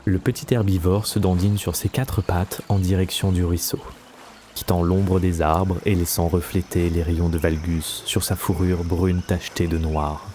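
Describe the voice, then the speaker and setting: A man's voice: low voice, level tone, normal speed, noisy background. A man narrating a scripted fantasy audio story as a storyteller. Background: faint music.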